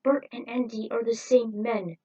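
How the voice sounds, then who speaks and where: A woman's voice: on the high side, steady tone, normal speed, dark tone, husky, highs cut off, pitched above the performer's own voice. A woman voicing a solemn male robot racer who states facts in clipped declarations. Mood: flat, solemn.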